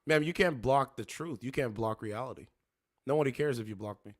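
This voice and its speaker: A man's voice: medium pitch, fairly quick. Young male streamer, loose and slangy, preachy, repeats his points, casual chat tone. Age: 20s. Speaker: livestream host.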